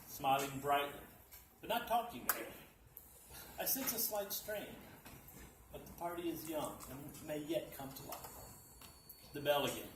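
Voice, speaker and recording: A man reading a humorous story aloud at a library dinner event, in a dry, deadpan storytelling manner. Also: fairly high pitch. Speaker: reader at a literacy event. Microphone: distant mic. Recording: echoey room, distant mic, background noise.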